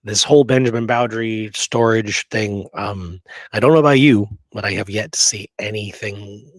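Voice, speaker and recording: A man's voice: fairly low pitch, normal speed, no room sound. A man hosting a history show, talking casually as he discusses research on a live call.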